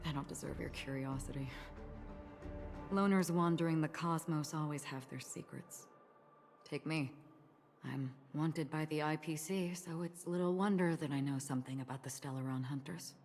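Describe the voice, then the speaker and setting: An actress playing a calm, enigmatic wandering swordswoman in a video game, speaking in a measured, composed way. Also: sits low. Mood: calm, guarded. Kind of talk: dramatic video game voice acting. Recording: long reverb, game music behind. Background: music.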